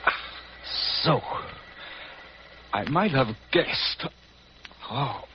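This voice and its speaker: An actor playing a bitter, wounded German spy who sounds pained and weakened, in old radio drama style. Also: male voice, mid-range voice, lively intonation, extremely slow. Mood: pained, weak. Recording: dull, noisy vintage radio recording.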